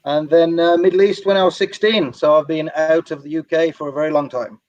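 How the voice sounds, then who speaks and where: A man from Ireland and Birmingham telling his own story as an interview guest, measured and reflective, with some Arabic phrases. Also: on the high side, fairly even intonation, a little mellow, dry recording. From Ireland and Birmingham, England. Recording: dry, slightly dull lo-fi audio.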